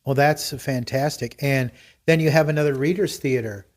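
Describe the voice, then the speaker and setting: A man's voice: medium pitch, normal speed. A man hosting a podcast, chatting casually with his guests in an encouraging, appreciative way as he interviews them.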